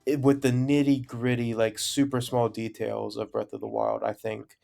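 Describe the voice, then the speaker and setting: Gravelly young man giving opinions. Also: medium pitch, flat delivery, normal speed, a little mellow, faint music behind. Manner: hedging, filler-heavy, opinionated. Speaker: podcast co-host. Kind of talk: casual chat.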